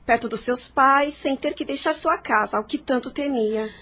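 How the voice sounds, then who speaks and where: A woman's voice: sits high, moderate pace, a bit bright. A Brazilian woman playing an affectionate, teasing and reassuring young society lady in an audio drama.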